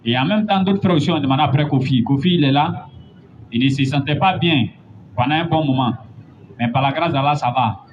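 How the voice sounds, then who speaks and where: Man, high voice, sermon, dull lo-fi sound. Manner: rising to emphatic, drawn-out points. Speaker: preacher. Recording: dull lo-fi recording with faint noise.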